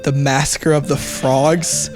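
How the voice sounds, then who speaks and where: A man's voice: medium pitch, little pitch movement, takes it slowly, over music. A young man telling a story casually as a podcast guest, emphatic and given to exaggeration. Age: young adult.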